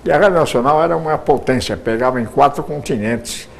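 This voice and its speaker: A man's voice: mid-range voice, brisk, creaky. An older Brazilian man, a radio broadcaster, answering interview questions at length, often repeating words as he searches for them.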